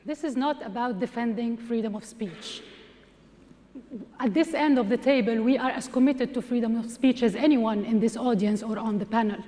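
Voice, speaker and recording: A woman from Egypt delivers an impassioned debate speech full of rhetorical questions. Her voice sits somewhat high. A long hall reverb trails every phrase in the auditorium. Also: moderate pace, warm tone. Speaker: debate panelist. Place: large auditorium.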